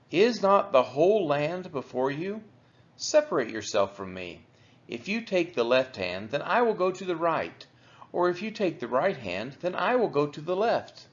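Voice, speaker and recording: Male scripture reader: measured, solemn delivery with animated pitch movement, slightly dull recorded sound. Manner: measured, deliberate phrasing. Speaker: Bible reader for a church daily read-along. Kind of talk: solemn scripture reading aloud.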